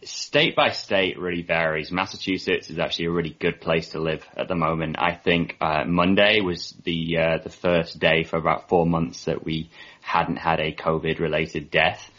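Male podcast guest, low-pitched voice, casual interview chat, dull recording. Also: steady tone, normal speed, fairly bright tone. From Manchester, England.

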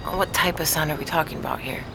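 An actress playing a calm woman neurologist who explains things patiently and weighs the evidence, in an audio drama. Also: low-pitched, fast, noisy background, close to an everyday voice. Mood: explaining.

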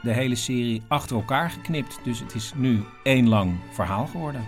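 A man hosting a podcast, giving a casual, halting spoken introduction. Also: medium pitch, faint music behind. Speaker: podcast host.

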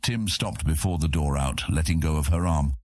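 An extremely deep-voiced man narrates a fantasy audiobook. His tone is husky and his delivery is dramatic, scripted storytelling. The recording is close and dry. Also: normal speed, a little mellow, dry recording.

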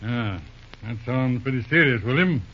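An actor playing a genial, shrewd older lawyer uncle in an old radio drama, speaking with hesitant uhs and easy chuckles. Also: male voice, medium pitch, animated, normal speed, lightly acted. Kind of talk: 1930s radio drama acting. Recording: dull lo-fi old radio recording.

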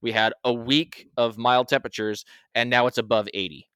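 A man in his thirties from eastern Washington chatting casually, halting and restarting often. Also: medium pitch, steady tone, a bit bright, clear, resonant tone, dry recording, clean studio sound. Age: 30s. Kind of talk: casual chat.

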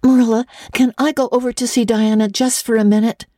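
Woman acting a high-voiced, eager young girl. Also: little pitch movement, fairly quick, dark tone, dry recording, studio quality, natural voice. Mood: eager, urgent. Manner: breathless, gushing, earnest. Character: eager, pleading, imaginative young orphan girl. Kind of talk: dramatic audiobook reading of classic literature.

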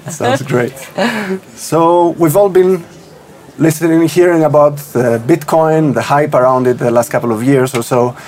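A man's voice: fairly high pitch, a little mellow. Man hosting a podcast interview. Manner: halting questions with uh fillers. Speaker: podcast host. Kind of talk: scripted intro then interview questions.

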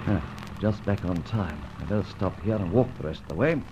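An actor playing a suave, cool-headed gentleman adventurer and safecracker in an old radio serial, speaking in a debonair, unhurried way. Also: low-pitched, expressive. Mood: commanding. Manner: debonair, unhurried, old-fashioned British-style exclamations. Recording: muffled, hissy vintage radio recording.

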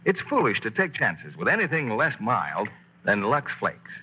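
Male radio announcer, husky and animated, classic commercial read, lo-fi vintage sound. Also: normal speed. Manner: upbeat salesman's pitch. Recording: dull 1940s radio broadcast.

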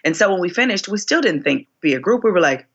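A woman in her forties, a singer being interviewed, telling her story expressively, her voice climbing high whenever she relives a moment. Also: sing-song, fast, dull audio. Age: 40s. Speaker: guest singer.

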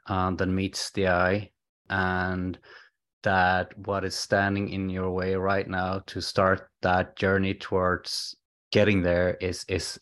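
A man with a Swedish accent speaks as a guest in a conversational interview. His low voice stays very even as he earnestly feels his way toward the right words. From Sweden. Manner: hesitant, searching for words, earnest. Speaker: podcast guest, alcohol-free coach.

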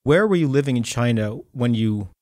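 A man's voice: medium pitch, lively intonation, normal speed, darkish tone. A man hosting a podcast in casual conversation, thoughtful and searching for words. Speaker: podcast host.

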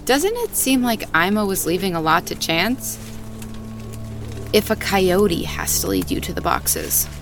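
A woman's voice: mid-range voice, big pitch swings, unhurried, music in the background. An actress playing a skeptical female companion in an audio drama, wry and questioning in natural dialogue.

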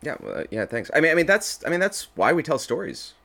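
A man speaking as an interview guest in a casual chat, informal and halting as he works out his thoughts. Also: on the high side, huge pitch swings, creaky, pushed and bright. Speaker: podcast creator, interview guest.

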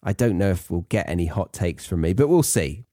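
Male British podcast host, low-pitched and animated, wry conversational teacher, close dry sound. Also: brisk, no echo. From Britain. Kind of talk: chatty educational podcast monologue.

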